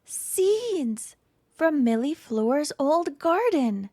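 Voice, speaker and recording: A woman narrates a children's storybook with expressive care. Her voice sits fairly high and she takes her time over each phrase. The sound is dry and close. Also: very slow, no echo. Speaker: storytime reader. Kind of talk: read-aloud children's picture book narration.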